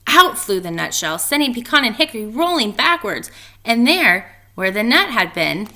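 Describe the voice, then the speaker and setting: A woman's voice: on the high side, sing-song, moderate pace, sharp tone. A woman reading a children's storybook aloud and talking informally to pupils like a teacher.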